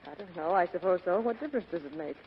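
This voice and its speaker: Quick, husky femme fatale. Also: female voice, fairly low pitch, animated, heavily acted. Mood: anxious, evasive. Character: evasive, pleading femme fatale, a practiced liar. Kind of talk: 1940s radio drama acting. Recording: tinny muffled 1940s radio broadcast.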